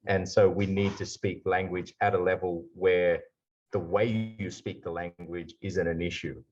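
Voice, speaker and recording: A man with an Australian accent giving a lively conference talk over a video call. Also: low-pitched, a little slow, lo-fi sound. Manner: lively explainer, slipping into put-on accents for examples. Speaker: guest speaker.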